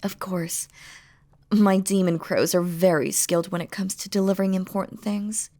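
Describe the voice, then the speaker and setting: A woman's voice: medium pitch, steady tone, normal speed, dark tone, lightly acted. A woman playing a doting, playful demon queen in an intimate romantic audio roleplay, speaking tenderly and teasingly in formal, regal phrasing. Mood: fond, proud.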